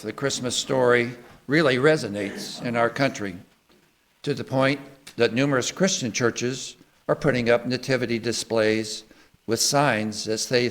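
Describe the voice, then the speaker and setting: A man speaking to a congregation in an earnest, measured way, giving a sermon and liturgical readings. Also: medium pitch, fairly bright tone. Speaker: service speaker at a church.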